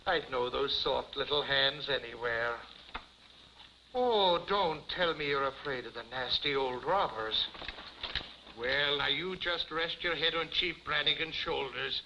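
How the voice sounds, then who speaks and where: An actor playing a blustering, pompous small-town police chief in 1950s television comedy style. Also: male voice, mid-range voice, measured pace, natural voice. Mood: cooing, doting. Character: blustering, officious small-town male police chief, sweet-talking, cowardly. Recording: muffled, hissy 1950s television soundtrack.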